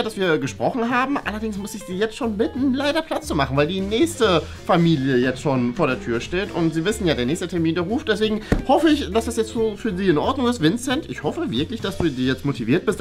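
A wordy, diplomatic schoolteacher played by a man in a comedy sketch. His intonation swings widely as he hedges through tactful complaints, with faint music behind him. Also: high voice. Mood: polite, wrapping up. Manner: hesitant, hedging, wordy. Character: talkative, diplomatic male schoolteacher gently airing complaints. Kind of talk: improvised comedy sketch acting. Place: small bright classroom-like office, indoors. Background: background music.

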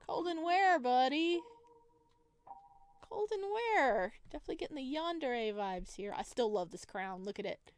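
A woman's voice: high voice, animated, normal speed, smooth. A woman chatting casually as she plays a game, reacting aloud and reading text from the screen. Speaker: gaming streamer, let's player.

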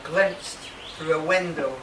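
Guy in his 40s reading poems real slow, noisy and far from mic. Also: on the high side, steady tone. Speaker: poet reading own work. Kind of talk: live poetry reading, short measured phrases. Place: marquee with a small seated audience. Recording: distant, echoey, noisy live recording.

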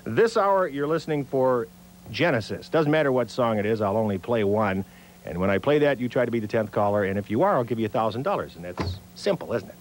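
A man's voice: mid-range voice, lively intonation, fairly quick, a little hiss or hum, poor audio. A middle-aged American man working as a radio DJ, talking in a casual, wry, easygoing patter. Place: small radio studio. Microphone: large studio mic on a boom arm.

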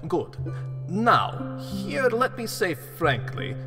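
An actor playing a courteous, commanding old vampire count in a radio play, speaking formally with deliberate, halting phrasing. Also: male voice, high voice, wildly swooping pitch, exaggerated voice. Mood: courteous, calculating. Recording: long hall-like reverb, music underneath. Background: plucked guitar music.

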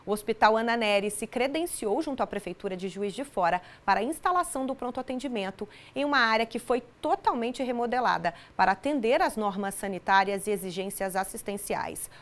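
A woman, presenting scripted news in a formal, clearly articulated way from a TV studio on a lapel mic. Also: fairly high pitch, expressive, fairly quick, hardly any pauses.

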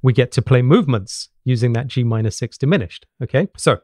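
A man's voice: mid-range voice, brisk. A middle-aged man gives an instructional music lesson, explaining and checking understanding with short tag questions, close to a studio mic. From England. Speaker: jazz piano teacher. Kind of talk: instructional lesson talk with piano demonstrations. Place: small home study with bookshelves. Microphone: large studio mic on boom arm, close. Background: piano playing.

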